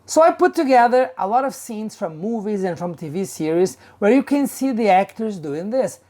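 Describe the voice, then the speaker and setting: A woman teacher giving an instructional talk in a measured, emphatic, didactic manner. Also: medium pitch, lively intonation, dark tone. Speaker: English pronunciation teacher.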